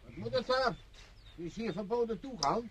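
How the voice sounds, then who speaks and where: An actor in a Dutch radio play as a folksy gardener, informal and garrulous. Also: male voice, sits high, animated, normal speed, breathy edge, no room sound. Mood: challenging. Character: chatty, folksy male gardener.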